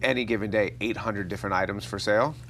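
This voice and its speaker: A man, a company founder, answering interview questions in a calm, matter-of-fact way. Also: on the low side, fast talker, a little hiss or hum.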